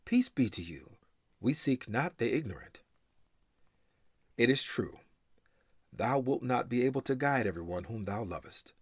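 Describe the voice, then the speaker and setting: Expressive man reading scripture, very dry sound. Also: mid-range voice, measured pace, long pauses, breathy edge, dry recording. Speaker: scripture reader. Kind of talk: solemn scripture recitation of an English translation.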